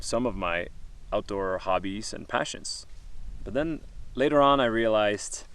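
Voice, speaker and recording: A man's voice: on the low side, vocal fry. A man from Norway, speaking casually and earnestly to the camera outdoors above a mountain valley, with many ums and uhs. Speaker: missionary vlogger.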